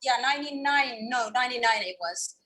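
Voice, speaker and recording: A woman in her thirties from Finland speaks on a video call, her voice sitting a little high. She tells her story candidly and at a measured pace, with frequent fillers and restarts. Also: sharp tone. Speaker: webinar panelist. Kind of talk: conversational storytelling.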